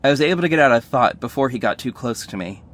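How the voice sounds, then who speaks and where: Man as a wry superhero narrator, mid-pitched. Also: animated, quick pace, a bit bright, lightly acted. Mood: tense recounting. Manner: wry, earnest first-person storytelling. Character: sardonic young male superhero narrating his own fight. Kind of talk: scripted audio drama narration.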